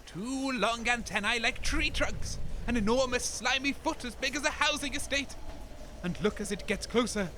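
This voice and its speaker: A man's voice: extremely high pitch, normal speed, pushed and bright, a little hiss or hum. A man playing a grumbling, scheming, pompous radio DJ with a British accent in a comedy audio drama, theatrical and prone to stammering. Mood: indignant. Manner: theatrical, stammering when evasive, grandiose.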